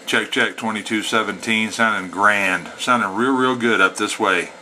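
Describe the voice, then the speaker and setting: A man's voice: on the low side, moderate pace. A man operating a CB radio, speaking in a folksy way with CB slang, between radio calls and casual explanation. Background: CB radio static and chatter.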